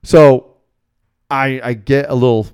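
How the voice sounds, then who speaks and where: An American man with a clean, clear voice at an average pitch. He talks as a podcast host and coach, casual and blunt, telling his stories in an animated, conversational way. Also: takes it slowly. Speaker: sports betting podcast host and basketball coach. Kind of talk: casual solo podcast talk and storytelling.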